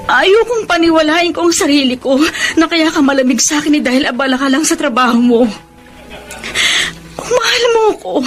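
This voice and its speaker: An actress with a Filipino accent playing a neglected, long-suffering middle-aged wife in a radio drama, emotional and reproachful, with music underneath. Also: female voice, sits high. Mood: pleading. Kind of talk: Philippine radio drama acting.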